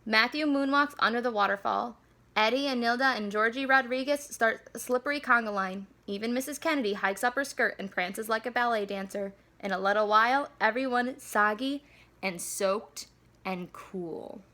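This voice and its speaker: A woman reading a children's storybook aloud in an animated, deliberate storyteller delivery. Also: a little high. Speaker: school staff member reading aloud.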